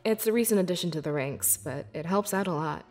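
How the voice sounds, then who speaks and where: A woman playing a young, devoted beast-folk scribe in a fantasy video game, with earnest, measured and expressive delivery. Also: fairly low pitch, faint music behind, lightly acted. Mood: explanatory, friendly. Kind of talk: scripted video game character dialogue.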